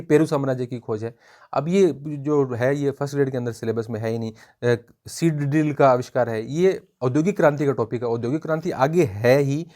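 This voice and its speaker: Man explaining in a measured, informal way. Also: on the high side, darkish tone. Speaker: history exam coach reviewing a book. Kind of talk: informal spoken review for students.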